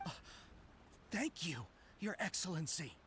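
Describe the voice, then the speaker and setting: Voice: medium pitch, very animated, unhurried, a bit bright, slight background noise. Deferential, swooping anime game soldier. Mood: chastened, grateful. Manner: formal, deferential. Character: deferential soldier subordinate, chastened and eager. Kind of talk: anime video game voice acting. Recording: game dialogue under clear background music.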